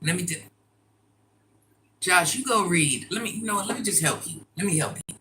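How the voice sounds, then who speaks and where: A middle-aged woman with a deep voice, chatting casually and bluntly as she answers viewer comments in a livestream. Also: expressive, normal speed, sharp tone, slight background noise. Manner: blunt, sassy, talks straight to commenters.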